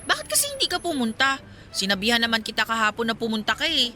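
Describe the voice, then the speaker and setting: Young woman best friend, teasing n chatty, super bright voice, radio drama w music behind. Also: fairly high pitch, wildly swooping pitch, fast talker, exaggerated voice. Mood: playfully teasing. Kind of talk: Filipino radio drama acting.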